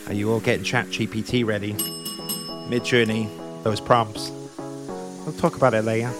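A man's voice: on the low side, level tone, moderate pace. Chatty host guy, jokey, bit of music faint behind him. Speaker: live stream host. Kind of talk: casual live-stream interview hosting.

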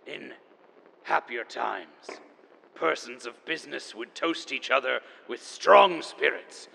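An actor playing a grandiose, theatrical chronicler enduring after the apocalypse, pompous and melodramatic in an audio drama monologue. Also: male voice, mid-range voice, creaky, sharp tone, long reverb tail, exaggerated voice, poor audio. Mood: ominous, storytelling. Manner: pompous, melodramatic, savoring each word.

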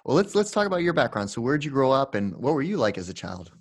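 A man in his forties hosting a podcast, reading the intro and then asking long, halting questions full of fillers. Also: medium pitch, very animated, slightly rough, no echo, studio quality. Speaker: podcast host.

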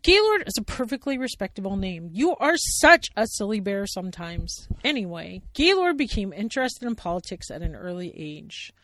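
A woman voicing a fretful, earnest young female bear in a storybook play, with a high and excitable voice. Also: normal speed, few pauses, no echo. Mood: lecturing.

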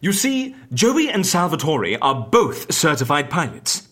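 A man playing an eccentric, theatrical agent in an audio drama, with a husky voice and a flamboyant, animated manner. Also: on the high side, measured pace, full character voice. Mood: scheming, gentle. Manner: animated, flamboyant, old-fashioned exclamations. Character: eccentric, theatrical male agent who stages fake deaths.

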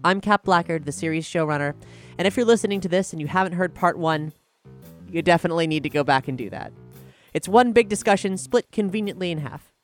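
A woman's voice: lowish voice, fast talker, faint music behind. A woman hosting as showrunner, introducing and chatting about the series. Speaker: showrunner and host. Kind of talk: scripted intro and casual discussion.

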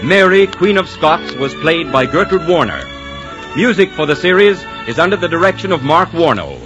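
A man's voice: on the high side, expressive, normal speed, over music. A man announcing in polished, emphatic 1940s radio style, as if reading sponsor copy and credits.

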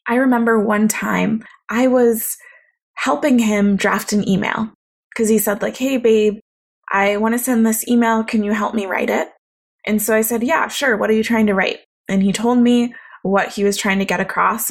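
A young woman with a naturally quiet voice, talking reflectively in a solo podcast monologue. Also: on the high side, fairly even intonation, normal speed, vocal fry. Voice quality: quiet, soft-spoken voice. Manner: reflective, conversational, thoughtful. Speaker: podcast host.

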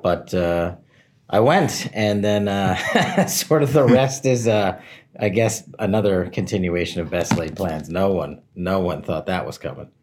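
A deep-voiced man in his forties tells his own career story in an interview. He sounds candid and relaxed, gently mocking his own best-laid plans. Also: unhurried. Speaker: interview guest.

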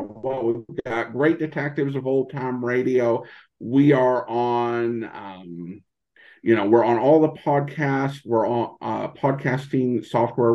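A man chatting casually as a podcast interview guest, speaking haltingly with repeated false starts. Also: mid-range voice, muffled recording.

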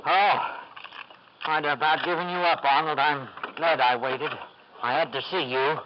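An actor playing a nervous, reluctant business partner in 1950s radio drama, hesitant and plain-spoken, on an old broadcast recording. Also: male voice, on the high side, fairly even intonation, a little slow, background noise, close to an everyday voice. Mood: anxious, agitated. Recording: dull lo-fi vintage radio broadcast.

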